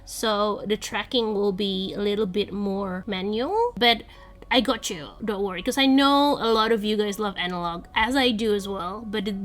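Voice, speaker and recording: A woman's voice: mid-range voice, fairly bright tone. A young woman with a congested voice, chatting casually off camera as she walks viewers through her planning template. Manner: chatty, rambling, giggly. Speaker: vlogger. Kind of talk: casual voice-over walkthrough. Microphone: voice-over, off camera.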